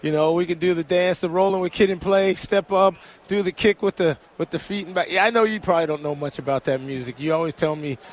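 A man hosting a sports radio show in casual, animated banter with many fillers, talking into a headset at a broadcast booth. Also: fairly high pitch, fast, few pauses. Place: broadcast booth on a busy event radio row.

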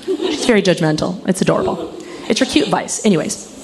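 A woman who grew up in Alabama, performing animated, self-deprecating stand-up comedy. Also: fairly low pitch, brisk, vocal fry. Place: comedy club stage. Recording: noisy live club recording.

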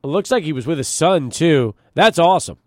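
A man's voice: fairly high pitch, expressive, a little fast, darkish tone, no room sound, studio quality. A middle-aged man hosting a sports talk radio show, conversational and emphatic, punctuating his points. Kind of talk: sports talk radio, chatting and prompting callers. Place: radio studio.

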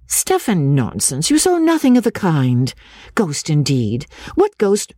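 Brisk young gentlewoman performed by a narrator, imperious and scornful, raised pitch, swooping delivery, storybook dialogue. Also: huge pitch swings, no echo. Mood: sharp, scornful. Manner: emphatic, scornful questioning. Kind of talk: audiobook character dialogue.

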